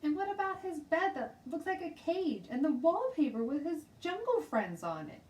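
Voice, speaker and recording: A woman's voice: high voice, very animated, moderate pace, darkish tone, pitched above the performer's own voice. A woman voicing a stubborn, chatty little kindergarten girl with childish, emphatic grammar in a children's storybook read-aloud. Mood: loud, insistent. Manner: childish ungrammatical speech, emphatic, insistent. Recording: roomy, distant mic, faint noise.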